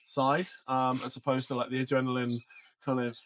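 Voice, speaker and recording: A man co-hosting a podcast, chatting casually and telling stories in a rambling, animated way. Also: mid-range voice, moderate pace, no room sound. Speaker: podcast co-host. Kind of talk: casual podcast chat about off-road adventures.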